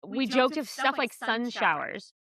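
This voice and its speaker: Bright-voiced woman chatting casually. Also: on the high side, lively intonation, measured pace, dry recording. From South Florida. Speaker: podcast co-host. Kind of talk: casual chat.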